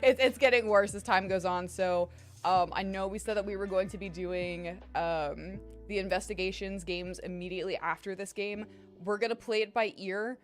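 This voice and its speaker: A woman's voice: medium pitch, moderate pace. A young woman streamer chatting casually with her viewers, her voice strained and tired, rambling and swearing freely. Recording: faint music behind voice. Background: faint music.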